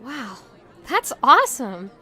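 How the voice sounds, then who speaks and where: Naive, curious young woman, acted, slightly high voice. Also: sing-song, takes it slowly, pushed and bright, some chatter behind, heavily acted. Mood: amazed, curious. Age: young adult. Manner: hesitant, eagerly inquisitive. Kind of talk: audio drama acting.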